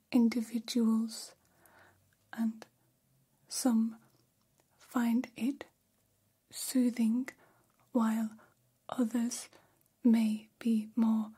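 A woman voicing a gentle, curious female alien in an ASMR roleplay, speaking in a breathy whisper with careful, formal phrasing. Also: fairly high pitch, exaggerated voice. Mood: gentle, reassuring. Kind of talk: ASMR personal attention roleplay.

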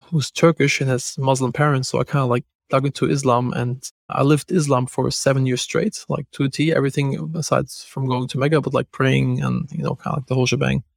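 A man's voice: mid-range voice, little pitch movement, gravelly, no echo, clean studio sound. A man with a German accent hosting a podcast, talking in a casual, loose way with frequent restarts and fillers. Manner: fast, loose talk with many restarts and fillers. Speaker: podcast host.

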